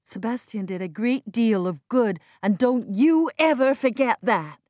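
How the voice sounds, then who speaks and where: A woman's voice: on the high side, animated, unhurried, a little mellow, no echo, studio quality, voice pushed up. A woman narrator playing an earnest, strong-willed and loyal woman in mourning, emphatic and reproachful, in an audiobook drama. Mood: defensive, impassioned.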